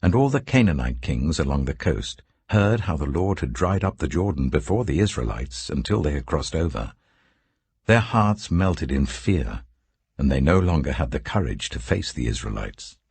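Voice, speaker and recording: A man with a low, very husky voice narrates scripture like an audiobook. His delivery is solemn and deliberate, with expressive rises and falls in pitch across each phrase. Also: dry recording. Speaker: Bible narrator.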